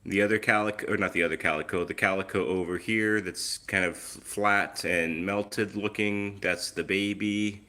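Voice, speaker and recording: A man's voice: sits low, fairly even intonation, normal speed, few pauses, bright, forceful tone. A man hosting a casual live stream on his phone, chatty and easygoing, with a lingering cough that leaves his voice a little off. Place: outdoors, then indoors at home.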